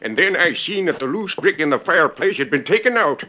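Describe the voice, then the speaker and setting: An actor playing an excitable, frightened old rancher in folksy rural speech, in an old radio western. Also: male voice, on the high side, fairly quick, lightly acted. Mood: anxious. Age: older. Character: excitable, frightened old rancher who has been robbed. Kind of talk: 1940s radio western acting. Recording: dull, noisy old radio recording with clicks.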